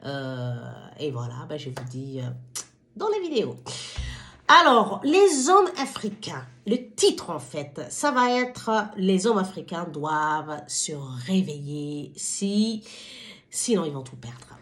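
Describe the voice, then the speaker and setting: A woman's voice: deep voice, big pitch swings, slow pace, a bit bright. A woman from Somalia hosting her own wellbeing vlog, speaking to viewers in a hesitant but impassioned, exhorting way. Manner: hesitant, stumbling over words. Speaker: channel host.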